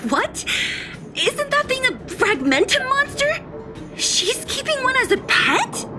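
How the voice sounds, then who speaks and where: A woman's voice: very high voice, very animated, slow pace, over loud music, heavily acted. A woman playing a bold, tough young female fighter in a video game, talking in a direct, punchy way. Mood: bold, wary.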